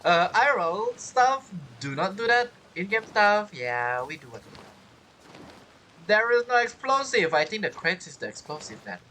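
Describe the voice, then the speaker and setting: Bright, lively gaming streamer. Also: mid-range voice, a little slow, a little hiss or hum, poor audio. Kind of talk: casual let's play commentary.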